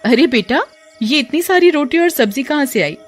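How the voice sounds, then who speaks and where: A woman voicing a poor, loving, worried mother in a moral story, gentle and plaintive. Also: high-pitched, expressive, moderate pace, faint music behind, pitched above the performer's own voice. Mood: surprised. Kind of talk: animated moral story dialogue.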